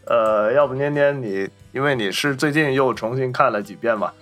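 A man's voice: medium pitch, normal speed, fairly bright tone, soft background music. A man hosting a casual film discussion, talking in an informed, explanatory way. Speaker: podcast host.

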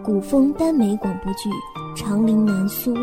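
A woman's voice: medium pitch, measured pace. A woman reading a scripted title announcement for an audio drama. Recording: loud music behind the voice.

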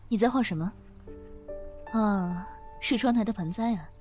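A woman playing a kind, calm office manager in a modern audio drama, gently teasing and soothing. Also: medium pitch, normal speed, faint music behind, natural voice. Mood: warm, teasing.

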